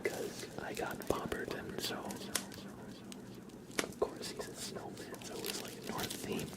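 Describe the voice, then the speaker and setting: An ASMR streamer chatting casually in a soft, rambling whisper during a livestream. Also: a little slow.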